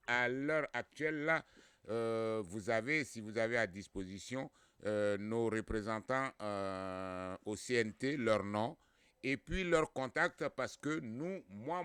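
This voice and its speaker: A man's voice: mid-range voice, measured pace, a bit bright, smooth, no echo. A man hosting a radio talk show, speaking hesitantly with many fillers and often chiming in to agree.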